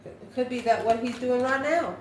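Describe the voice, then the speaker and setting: A woman's voice: a little high, normal speed. A woman doing an improvised tarot card reading, musing aloud and answering her own questions. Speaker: tarot reader, channel host. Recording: echoey room, faint background noise.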